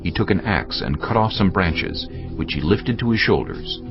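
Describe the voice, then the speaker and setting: A male narrator tells a scripture story solemnly, as in a dramatised audio Bible. His voice is very deep with a gravelly edge, yet moves widely in pitch as he tells it. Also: sing-song, moderate pace. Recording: muffled, band-limited audio with music. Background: music bed.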